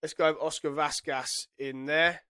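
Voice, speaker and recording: A man's voice: a little high, steady tone, normal speed, bright, forceful tone, smooth, dry recording, clean studio sound. A man with a head cold giving chatty, self-correcting commentary while playing a football management game. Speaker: gaming YouTuber. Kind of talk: casual gameplay commentary.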